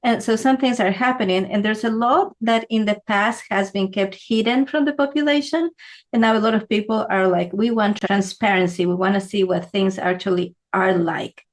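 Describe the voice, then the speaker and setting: A woman from Ecuador gives a relaxed, conversational lesson. She explains things at an unhurried, talky pace and keeps checking in with a quick "right?". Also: mid-range voice. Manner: conversational, asks "right?" often. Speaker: feng shui teacher. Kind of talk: explanatory talk to camera.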